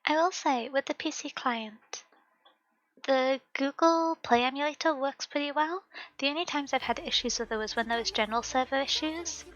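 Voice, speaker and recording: A softly spoken woman streaming a game live, chatting casually with viewers, her voice slightly hoarse and sore. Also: sits high, moderate pace, dry recording, dull audio. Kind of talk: casual live-stream chat while gaming. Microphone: desk microphone at home. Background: faint background music.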